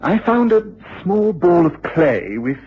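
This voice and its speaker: An actor playing an agitated, excitable university lecturer with an English accent and a husky voice in a period radio drama, speaking formally and precisely. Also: male voice, sits high, takes it slowly, exaggerated voice. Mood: anxious, earnest. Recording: dull, lo-fi old radio recording.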